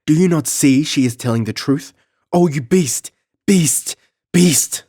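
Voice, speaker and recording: A man voicing an outraged, desperate young noblewoman who pleads and screams in a high, shrill voice. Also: measured pace, dark tone, dry recording, studio quality.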